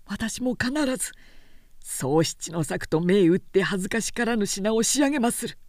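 A woman's voice: mid-range voice, animated, moderate pace, darkish tone, no echo, studio quality, lightly acted. A woman doing an earnest young craftsman. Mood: deferential. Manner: polite formal speech turning to rough, plain-spoken talk. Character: earnest young male craftsman, humble then resolute.

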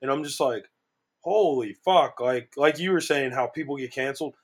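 A thirty-year-old man co-hosting a podcast, excitable and profane in casual chat. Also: mid-range voice, normal speed, breathy edge. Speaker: podcast co-host.

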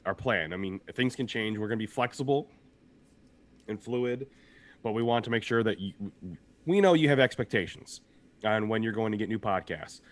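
A young man co-hosting a podcast, his voice a little raspy from shouting, talking in an upbeat, rambling way and laughing often. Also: on the low side, lively intonation, normal speed. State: slightly hoarse from shouting. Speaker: podcast co-host. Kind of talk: casual podcast chat.

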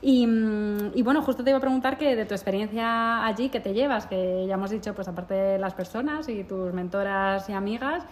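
A woman's voice: mid-range voice, brisk, few pauses. A woman hosting a podcast, asking long, meandering questions and backchanneling as her guest speaks. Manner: friendly backchanneling, rambling questions. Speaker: podcast host, interviewer. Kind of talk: casual interview chat.

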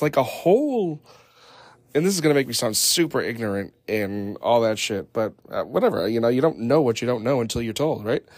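A man hosting a casual podcast, hoarse and raspy from a head cold, rambling loosely with lots of fillers and casual swearing. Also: medium pitch, dry recording. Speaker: podcast host. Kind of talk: casual off-the-cuff podcast chat.

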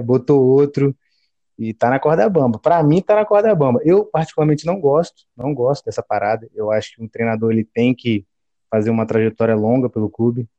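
Low-key mellow Brazilian co-host. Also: male voice, dry recording, lo-fi sound. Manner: laid-back, slangy, measured. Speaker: podcast co-host. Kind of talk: casual football chat.

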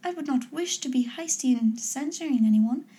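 A woman reading a gentle, modest young woman. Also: high-pitched, expressive, normal speed. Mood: earnest. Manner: soft and sincere.

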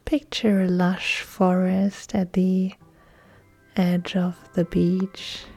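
A woman yoga instructor leading a guided relaxation meditation in a soft, breathy, soothing delivery with long pauses. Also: lowish voice, level tone. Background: soft music.

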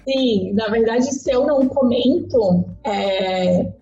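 Relaxed thirties woman, lo-fi sound. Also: on the high side. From Argentina, raised in southern Brazil. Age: 30s. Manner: relaxed, unhurried storytelling with frequent fillers. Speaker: podcast guest. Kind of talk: casual interview chat.

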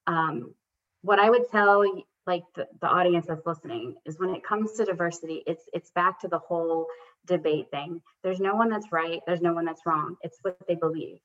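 A woman's voice: lowish voice, moderate pace, fairly bright tone. A woman coach speaking as a podcast guest, earnest and reflective, with many fillers and restarts. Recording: dull lo-fi recording.

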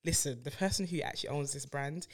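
A woman co-hosting a podcast, telling stories in a relaxed, giggly way. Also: deep voice, fast talker. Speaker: podcast co-host. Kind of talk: casual chat.

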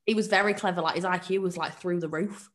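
A woman's voice: on the low side, steady tone, fast talker, a little hiss or hum. A woman co-hosting a casual podcast, telling a case from her notes in a joking, giggly way. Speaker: podcast co-host presenting a case. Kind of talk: casual true-crime podcast chat. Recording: roomy echo.

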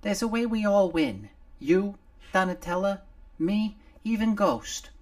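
A man voicing a small, scrappy male sidekick who wheedles and pleads in a high, squeaky voice. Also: animated, unhurried, a little mellow. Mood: persuasive.

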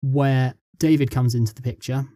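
Man, script writer guest, mellow tone, average pitch, halting casual explanations, dry sound. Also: steady tone, no echo. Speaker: script writer being interviewed. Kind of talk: casual interview chat.

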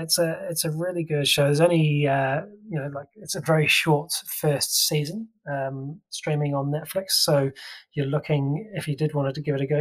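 A man's voice: medium pitch, poor audio. A man co-hosting a podcast, casually reviewing a TV series, talking loosely with plenty of fillers and restarts. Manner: chatty, full of fillers and restarts. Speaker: podcast co-host.

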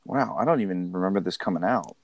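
A man hosting a casual podcast, steering the conversation in a relaxed way. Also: deep voice, fast talker, dry recording. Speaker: podcast host.

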